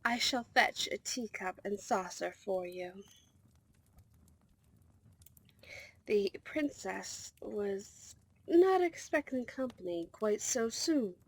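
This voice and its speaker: Woman acting a slow-spoken, husky, dutiful royal maid. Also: mid-range voice, animated, heavily acted, poor audio. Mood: formal, loyal. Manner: formal, deferential, measured. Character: dutiful, protective female servant of a vampire princess. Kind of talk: scripted ASMR roleplay acting.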